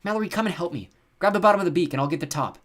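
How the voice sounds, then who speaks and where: A man's voice: on the high side, expressive, quick pace, voice pushed up, natural voice. A man voicing an earnest young boy in a storybook, anxious but determined, with an eager, pleading manner. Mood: coaxing.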